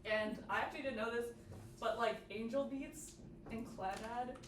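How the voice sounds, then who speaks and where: A woman's voice: fairly high pitch, normal speed. A young woman giving an informal workshop presentation in a casual manner, sprinkling "like" through her sentences. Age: young adult. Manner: casual, peppered with "like". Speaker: student club workshop presenter. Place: indoor workshop room with audience. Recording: echoey room, distant mic, noisy background.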